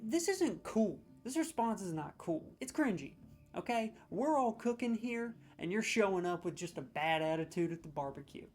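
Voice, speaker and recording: A man's voice: sits high, normal speed. A man delivers a comedic ranking rant, swearing freely and handing out mock-serious scores. He rambles and stumbles over his words when he gets worked up, with faint music behind him. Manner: stammering restarts when excited. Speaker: comedic judge of game voice lines.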